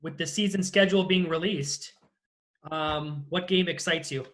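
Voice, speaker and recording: A man's voice: on the high side, moderate pace, a bit bright. A man hosting a casual interview over a video call, asking his guest questions. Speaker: interview host.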